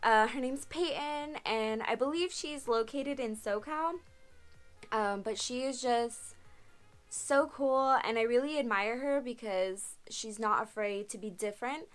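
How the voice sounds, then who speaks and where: Young woman, twenties, casual vlogger, slightly high voice, chatty and rambling, webcam sound. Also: a little slow, soft background music. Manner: chatty, frequent "um" and "like", laughs often. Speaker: car vlogger answering viewer questions. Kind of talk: casual Q&A vlog. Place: small bedroom, indoors.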